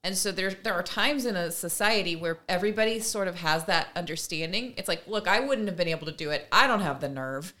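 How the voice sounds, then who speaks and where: A woman talks as a co-host in a casual, conversational style. Her voice sits a little low but is bright and forceful, and she slips into imagined quotes to make her points. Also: animated, a little fast, hardly any pauses. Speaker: podcast co-host.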